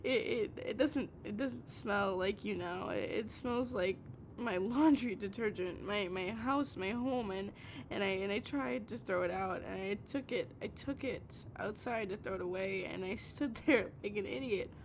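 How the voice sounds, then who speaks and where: A woman playing a heartbroken, obsessive young woman in an intimate audio roleplay, pleading over the phone in halting, stammered, repeated phrases. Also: close to an everyday voice. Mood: sad, wistful. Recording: noisy recording with low rumble.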